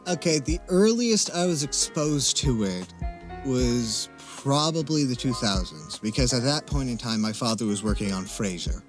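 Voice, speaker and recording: A young man who works as a voice actor, answering casually as an interview guest in an articulate way with wry humor and thoughtful pauses. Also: medium pitch, animated. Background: music.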